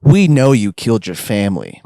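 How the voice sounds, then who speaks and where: A man's voice: fairly low pitch, huge pitch swings, normal speed, dark tone, dry recording, clean studio sound. A man voicing a paranoid, aggressive young troublemaker who is confrontational and sarcastic in a read-aloud story. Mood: needling.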